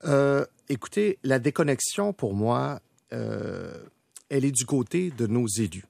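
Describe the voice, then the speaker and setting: A man's voice: mid-range voice, lively intonation, a little slow, creaky, darkish tone, no echo, clean studio sound. A man arguing as a panel guest in a rhetorical, emphatic way. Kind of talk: panel debate.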